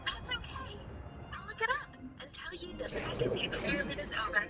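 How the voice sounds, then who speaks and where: A woman's voice: very high-pitched, big pitch swings, brisk, muffled recording. A woman voicing an eager, affectionate young female student in a video game, chirpy and informal. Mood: upbeat. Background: game music.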